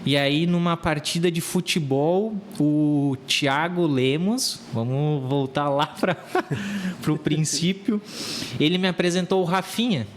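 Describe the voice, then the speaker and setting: A man's voice: a little high, steady tone, takes it slowly, slight background noise. A man in his thirties with a Rio Grande do Sul accent, a real estate agency owner telling his story as a podcast guest, often repeating words as he searches for them. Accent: Rio Grande do Sul Brazilian Portuguese. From southern Brazil. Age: 30s. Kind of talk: casual interview talk.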